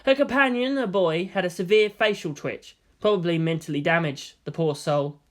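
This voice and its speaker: A man's voice: sits high, normal speed. A man reading scripted fiction aloud as the narrator.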